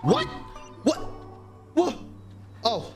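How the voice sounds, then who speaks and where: A young man reacting live as he plays a video game on stream, excitable, slangy and sweary, with game music behind him. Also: very high voice, very slow, gravelly. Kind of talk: live gameplay reaction commentary.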